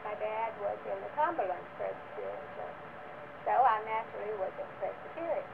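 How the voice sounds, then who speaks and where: Older woman, gravelly, a bit high, plain-spoken, tinny recording with noticeable hiss. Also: animated, brisk. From Florida. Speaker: church member guest. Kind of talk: casual personal account.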